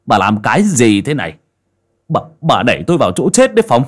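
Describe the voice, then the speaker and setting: A man voicing a cruel, hot-tempered grown son, speaking gruffly and snapping. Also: extremely low pitch, normal speed, voice pushed down. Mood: enraged.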